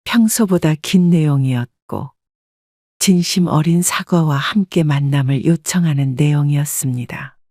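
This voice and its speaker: Calm reflective older woman narrator. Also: low-pitched, unhurried, dry recording, clean studio sound. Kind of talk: scripted first-person story narration.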